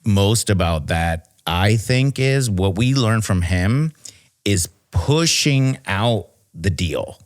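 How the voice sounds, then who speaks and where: A male co-host speaks slowly, in a low voice, in casual podcast conversation. He rambles, breaking off and restarting his sentences. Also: lively intonation.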